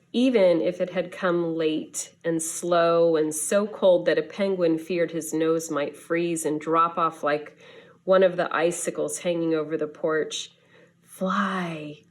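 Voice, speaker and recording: A woman reading a children's story aloud in an expressive, deliberate teacher's manner. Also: fairly low pitch. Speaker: schoolteacher reading to students.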